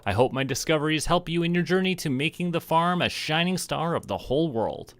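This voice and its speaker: A man's voice: fairly high pitch, lively intonation, a little fast, fairly bright tone, voice pushed up. A man voicing a fond old grandfather in a video game, earnestly reading out his farewell letter. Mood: fond, proud.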